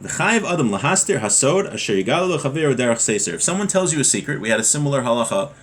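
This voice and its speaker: A man's voice: mid-range voice, very animated, quick pace. A man giving an informal lesson as a religious teacher, reading from a text and then explaining it with everyday examples.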